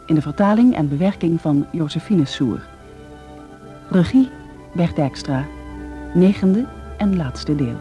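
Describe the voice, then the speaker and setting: Low-voiced woman announcer over music. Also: expressive, a little slow, pauses often. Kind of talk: formal radio drama announcement. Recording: loud music under the voice.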